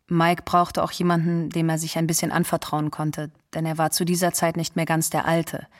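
A woman's voice: low-pitched, dry recording, studio quality. A woman narrating a personal recollection for a radio feature.

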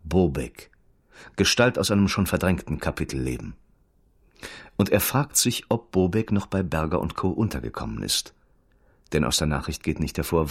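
A man narrating a radio crime play as a detached storyteller, terse and dry, with a husky voice. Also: extremely low pitch, exaggerated voice. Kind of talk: scripted radio drama narration.

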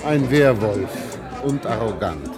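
An actor playing a prudish, reactionary middle-aged husband in a science fiction radio play, terse and reserved. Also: male voice, lowish voice, expressive, takes it slowly. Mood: dry, disapproving. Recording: radio drama, loud party crowd and music.

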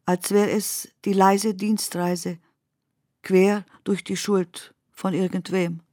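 A woman's voice: mid-range voice, flat delivery, takes it slowly, a little mellow, no room sound. Woman reciting verse, very dry studio sound. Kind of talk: poetic radio drama recitation.

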